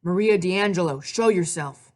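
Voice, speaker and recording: A woman's voice: lowish voice, moderate pace, a little mellow, natural voice. A woman storyteller voicing an intense, impatient boy who demands and insists. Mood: commanding. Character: intense, impatient, grieving boy summoning the dead.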